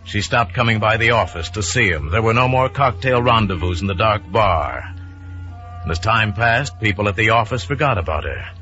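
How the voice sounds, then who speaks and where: A man's voice: on the low side, lively intonation, moderate pace, sharp tone. A man narrating a suspense story in a dramatic, scripted radio style. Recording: muffled vintage radio recording with music. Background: music.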